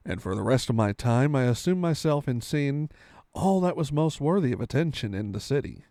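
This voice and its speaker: Animated male storyteller, close dry sound. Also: mid-range voice, no echo. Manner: theatrical, stumbles over the text and corrects himself. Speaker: storyteller, reading aloud on a live stream. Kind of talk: dramatic storybook narration.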